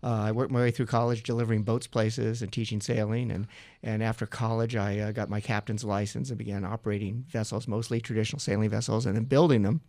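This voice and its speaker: A man's voice: lowish voice, steady tone, quick pace, dark tone, no room sound. A man from San Diego, a museum director, answering interview questions on a radio show with dry, self-deprecating humor. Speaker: museum director, studio guest. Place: radio studio.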